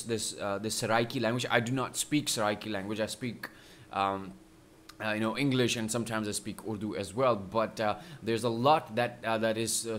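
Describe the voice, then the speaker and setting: A man in his thirties from Pakistan, hosting a casual music reaction video from a small room at home, thinking aloud with many pauses and fillers. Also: lowish voice, fairly bright tone, a little hiss or hum. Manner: reflective, many fillers and restarts.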